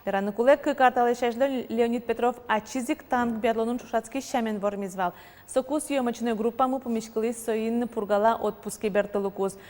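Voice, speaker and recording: A woman reading the regional news as an anchor in a steady, scripted delivery. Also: fairly high pitch, brisk. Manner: steady, even newsreader delivery. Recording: long reverb, faint noise.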